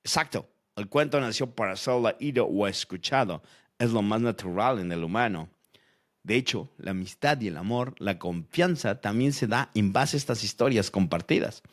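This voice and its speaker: A man's voice: on the low side, lively intonation, normal speed, lightly acted. A man playing a logical-minded character who explains storytelling in a scripted educational audio fiction. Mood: explanatory. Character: logical-minded man debating how stories work.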